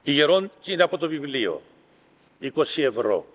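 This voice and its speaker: A man with a Greek Cypriot accent preaching as an Orthodox bishop, reading aloud and commenting in deliberate, emphatic short phrases. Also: on the high side, very animated, fairly bright tone. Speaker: Orthodox bishop giving a homily. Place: large reverberant room at a gathering. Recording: reverberant hall with long echo.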